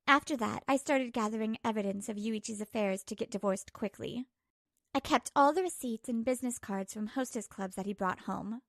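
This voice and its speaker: A woman's voice: fairly high pitch, normal speed, no echo, clean studio sound, close to an everyday voice. A woman playing a hurt, betrayed young wife who narrates her troubles emotively in a manga dub. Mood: resigned, resolute. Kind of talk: dramatised first-person manga dub narration.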